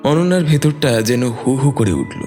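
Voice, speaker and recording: Expressive male narrator with long reverb. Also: mid-range voice, moderate pace. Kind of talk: scripted dramatic story narration. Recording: long reverb, music bed.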